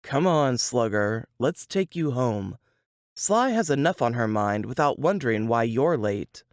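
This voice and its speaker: A woman reading a storybook, voicing a gruff, grim but protective young man with a terse, sighing delivery. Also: mid-range voice, sing-song, measured pace, no echo, clean studio sound, voice pushed down. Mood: wry, teasing.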